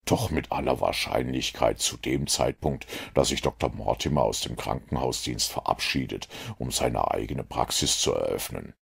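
A man voicing a self-assured, analytical detective in an audiobook, with a gravelly, husky voice and a measured, lecturing manner. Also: extremely low pitch, heavily acted. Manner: measured, didactic, superior. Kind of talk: audiobook character reading.

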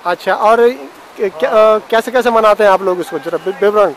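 A man from India interviewing locals casually outdoors, inquisitive and quick to acknowledge each answer. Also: high voice, fairly bright tone, a little hiss or hum, rough recording.

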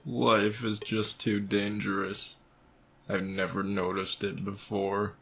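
A man voicing a laid-back, deadpan skeleton monster with a gravelly voice in a cartoon comic dub. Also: fairly low pitch, slow pace, a little hiss or hum, exaggerated voice. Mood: uneasy. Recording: music under the voice.

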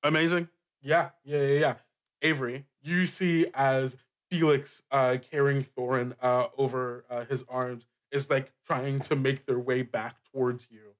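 A man improvising narration as a game master, casual and halting, with lots of filler words. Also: medium pitch, little pitch movement. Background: music bed.

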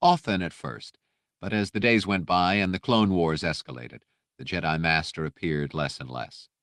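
A man reading a scripted audiobook story with measured, precise, dramatic narration. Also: low-pitched, a bit bright, dry recording, studio quality.

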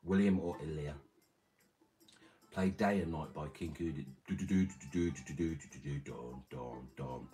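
A man in his thirties with a very deep, slightly damaged voice, chatting casually and crudely while reading his livestream chat in a small room. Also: normal speed, mellow, faint music behind. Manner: rambling, crude, jokey. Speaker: livestream host. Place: small room with red curtain and red light.

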